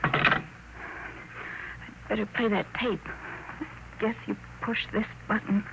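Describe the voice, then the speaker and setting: A woman's voice: mid-range voice, fairly even intonation, slow, creaky. An actress playing an anxious, deferential young woman in a 1950s radio drama. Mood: nervous, confused. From Earth, in the story. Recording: noisy, muffled 1950 radio recording.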